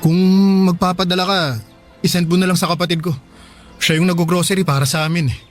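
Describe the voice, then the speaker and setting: A man's voice: sits high, level tone, quick pace, soft background music, natural voice. A man acting a tired but reassuring father caring for his sick child in a radio drama. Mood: determined. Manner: reassuring, weary, plain-spoken.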